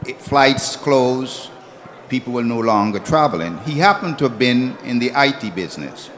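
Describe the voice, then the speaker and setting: A man's voice: mid-range voice, animated, moderate pace, a bit bright. A man speaking on a conference panel, explaining a point through a personal example. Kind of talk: panel discussion. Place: conference hall.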